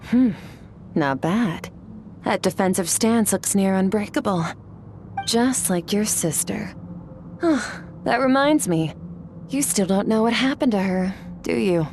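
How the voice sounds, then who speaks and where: An actress playing a composed, exacting combat mentor in a video game, measured, firm and instructive. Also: female voice, mid-range voice, lively intonation, background noise. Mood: pointed.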